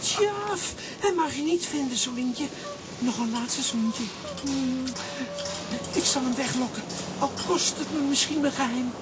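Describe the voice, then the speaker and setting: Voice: high voice, unhurried, over loud music, rough recording. An actor voicing a lovesick, sentimental living coffee pot in a children's radio play, talking plaintively. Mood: tearful, tender.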